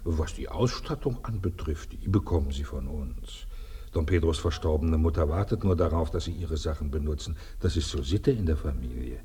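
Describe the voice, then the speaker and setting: Low-voiced, animated estate steward. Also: male voice, moderate pace, heavily acted. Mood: coaxing, insistent. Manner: coaxing, smooth-talking, matter-of-fact. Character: persuasive, deferential estate steward, pragmatic and insistent. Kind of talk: radio play acting.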